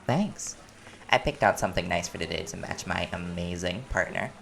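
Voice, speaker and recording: Male actor as smooth-talking male reporter, audio roleplay. Low, gravelly register, easygoing, teasing charm. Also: normal speed, fairly bright tone. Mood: playful, flirty. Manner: playful, teasing. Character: easygoing, flirtatious reporter, smooth sweet talker.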